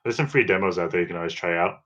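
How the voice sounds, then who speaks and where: A man's voice: sits low, expressive, rapid-fire, fairly bright tone, lo-fi sound. A man co-hosting a podcast in a casual, conversational chat. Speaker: podcast co-host.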